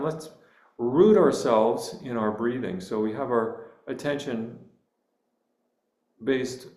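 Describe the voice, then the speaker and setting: A man leading a guided meditation as a teacher, speaking gently and unhurriedly with deliberate pauses. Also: medium pitch. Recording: roomy, echoing space.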